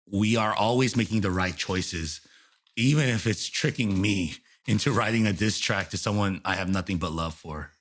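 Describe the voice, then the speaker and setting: A man in his thirties co-hosting a podcast, chatting casually and rambling, laughing often. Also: normal speed. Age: 30s. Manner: rambling, laughs often, lively swings in pitch. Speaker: podcast co-host. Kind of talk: casual chat.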